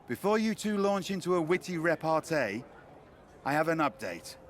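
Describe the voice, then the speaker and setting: A theatrical, articulate male wrestling promoter in a video game scene. His voice is slightly high for a man, with dry wit and formal showmanship. Ambient noise sits behind him. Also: sing-song, normal speed. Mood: formal, showman-like. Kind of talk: video game cutscene dialogue.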